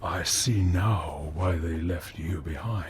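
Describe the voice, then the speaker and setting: An actor playing a zealous old alien prophet in a video game, with a pompous, oratorical delivery. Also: male voice, low voice, slow pace. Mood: cold contempt. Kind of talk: dramatic video game cutscene acting. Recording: loud ambient noise behind voice.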